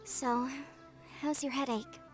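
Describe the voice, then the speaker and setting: High-voiced caring young sister. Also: female voice, fairly even intonation, takes it slowly, soft background music. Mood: concerned. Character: caring, outspoken young sister, bright and protective. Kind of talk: video game voice acting.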